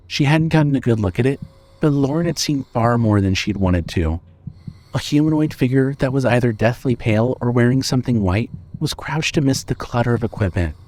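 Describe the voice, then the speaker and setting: A man reads scripted narration for a horror audio drama. His voice sits at a medium pitch, and he tells the story deliberately, with measured phrasing. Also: a little mellow.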